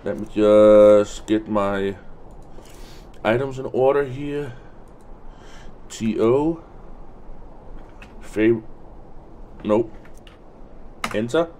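Slow, low-voiced man muttering over gameplay. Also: mellow. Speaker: let's play host. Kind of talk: casual gameplay commentary, thinking aloud. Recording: constant background noise and game ambience.

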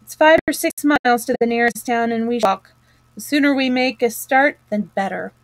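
A woman's voice: on the high side, normal speed, no echo, natural voice, poor audio. A woman voicing an indignant, bossy male water rat from a storybook, with an emphatic, scolding manner. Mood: stern, firm.

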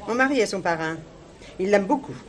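An actress playing a middle-aged merchant's wife in a French radio crime drama, sharp-tongued, gossipy and disdainful. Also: female voice, medium pitch, expressive, brisk, natural voice. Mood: insistent. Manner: gossipy, disdainful, bossy with staff.

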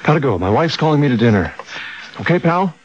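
An actor playing an easygoing, reassuring husband in a conversational radio drama style. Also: male voice, a little high, big pitch swings, fast talker, background noise. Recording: dull lo-fi radio recording.

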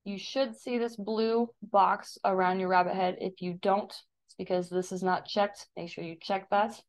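An American woman teaching a step-by-step software tutorial in an informal, instructive way. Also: mid-range voice, steady tone, normal speed. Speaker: digital design teacher. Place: voice-over for a screen recording.

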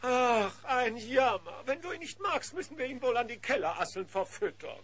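An actor playing an oily, scheming little villain in a children's cartoon audio play, with wheedling, mock-polite, florid talk. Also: male voice, extremely high pitch, sing-song, moderate pace, a bit bright, exaggerated voice.

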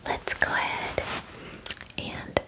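Slow whispering young woman, ASMR. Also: rough recording. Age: 20s. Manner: soft soothing whisper, unhurried, murmured reassurances. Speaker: ASMR creator playing a spa groomer. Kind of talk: ASMR personal-attention roleplay. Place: small dim room indoors.

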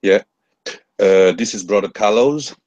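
A man's voice: fairly low pitch, unhurried, a little mellow, no room sound, lo-fi sound. A man with a French accent, whose first language is French, hesitantly describing hieroglyphs in an online class, searching for words with many restarts. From France. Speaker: class member.

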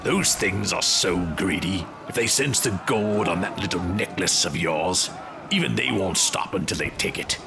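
Man playing a deep, gravelly old-salt sea captain. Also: lively intonation, moderate pace, over music. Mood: grumbling. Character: gruff, grumbling male sea captain. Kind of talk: audio drama acting.

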